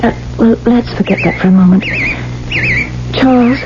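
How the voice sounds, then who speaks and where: An actress playing an affectionate, worried young woman, expressive and theatrical, in a 1970s radio drama. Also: a little high, moderate pace, close to an everyday voice. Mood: wry, coaxing. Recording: dull vintage radio, loud background noise.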